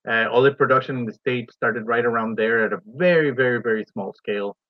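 Halting man from Ecuador, dry dull sound. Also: medium pitch, dry recording. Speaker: university professor, interview guest. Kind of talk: explanatory radio interview answers.

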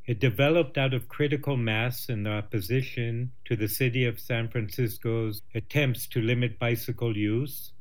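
A man hosting a radio show, introducing segments and guests in a measured, clear way. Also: mid-range voice.

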